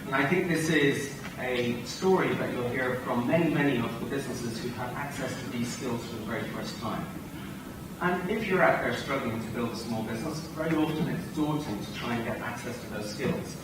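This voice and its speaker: A man with an Irish accent giving a measured conference panel speech, often pausing on uh. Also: medium pitch. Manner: measured, frequent uh fillers. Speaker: tech company executive panelist. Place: large hotel conference hall. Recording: echoey hall, distant mic, noisy.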